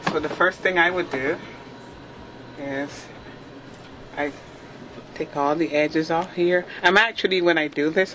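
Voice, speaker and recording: A middle-aged woman from Barbados, a theatre makeup artist who explains her work step by step in a lively way and laughs often. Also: deep voice, background noise. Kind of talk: demonstrating work while talking to the camera. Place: small backstage dressing room, indoors.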